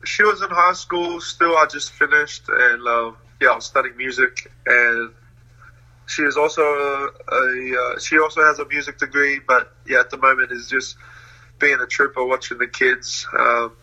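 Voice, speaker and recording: A man's voice: medium pitch, fairly even intonation, normal speed, bright, forceful tone, poor audio. A young man from New Zealand, talking casually as an interview guest, laughing often between hesitant fillers.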